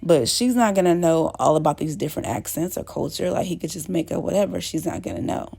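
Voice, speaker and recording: A woman's voice: low voice, animated, fast, vocal fry, warm tone, breathy edge. A woman host talking casually to her viewers, rambling with many fillers and restarts. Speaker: channel host. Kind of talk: casual talk to camera.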